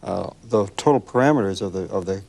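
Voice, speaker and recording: An American man speaks in a low voice in a panel discussion. His delivery is measured and halting, with formal corporate phrasing. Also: gravelly, a little mellow. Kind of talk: panel discussion about product development.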